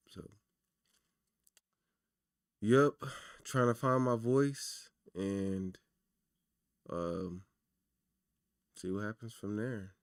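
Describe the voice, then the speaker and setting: A man hosting a casual livestream, talking loosely and chattily to his viewers with a naturally raspy voice. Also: low-pitched, dry recording, clean studio sound. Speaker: livestream host.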